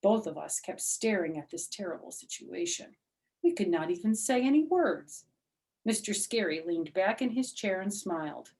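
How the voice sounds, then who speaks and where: A woman's voice: medium pitch, animated, moderate pace, a little mellow. Older American woman reading a children's story. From United States. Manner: explains simply to young listeners. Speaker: storyteller, former teacher. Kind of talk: expressive children's story reading with chatty asides.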